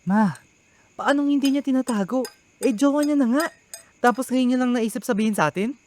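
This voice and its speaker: A man's voice: extremely high pitch, brisk, darkish tone. A man with a Filipino accent playing a hardworking, overprotective young eldest brother in a radio drama. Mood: agitated.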